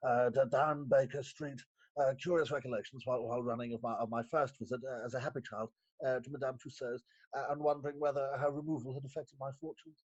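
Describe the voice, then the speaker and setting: An actor playing a flustered, guilty, respectable magistrate in a theatrical comic reading, stammering and hesitant with a husky voice. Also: male voice, mid-range voice, fairly even intonation, fast talker, lightly acted. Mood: dazed, rueful. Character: flustered, guilt-ridden, respectable London magistrate, disheveled after a night out. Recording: dull lo-fi remote recording.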